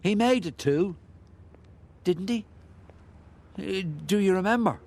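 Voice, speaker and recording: An actor playing a chatty, nosy older Irish ex-bricklayer who rambles and muses in a radio play. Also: male voice, high-pitched, expressive, slow pace, a little mellow, exaggerated voice. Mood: wistful, amazed. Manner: garrulous, rambling, musing, with hesitations.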